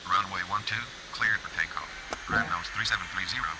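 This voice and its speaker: A man's voice: low voice, a little fast, background noise, natural voice. A man voicing a businesslike airline pilot in a simulator game, giving formal, clipped radio read-backs. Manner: formal, clipped, matter-of-fact.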